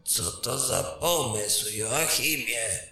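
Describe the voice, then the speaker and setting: Man playing a gravelly, sceptical royal councillor. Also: mid-range voice, sharp tone, full character voice. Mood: dismissive. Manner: sceptical, drawn-out, argumentative. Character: skeptical, pragmatic, querulous royal council member. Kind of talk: fantasy audio drama acting. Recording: very echoey room.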